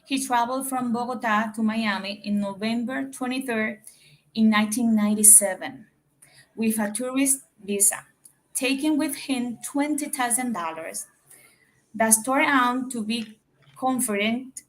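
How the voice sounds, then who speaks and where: A woman with a Dominican Spanish accent and a fairly high voice speaks halting, careful English on a video call. She reads slowly and pauses often to find her words. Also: rough recording. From Dominican Republic. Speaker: caller.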